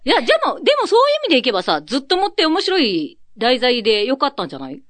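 Woman radio host, casual banter, quick pace, teasing, close dry sound. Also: high voice, wildly swooping pitch, fairly bright tone, no room sound. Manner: teasing, giggly, informal. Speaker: host of an online radio show.

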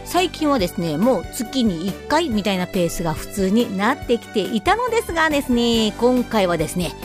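A woman's voice: a little high, very animated, brisk, music in the background. A woman hosting a radio show, chatting politely with playful exclamations as she introduces a drama.

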